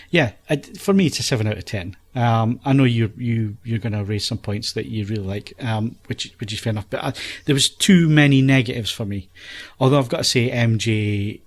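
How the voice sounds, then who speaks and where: A man's voice: medium pitch, brisk, hardly any pauses. A man reviewing films in a casual podcast chat, thinking aloud in a hesitant way.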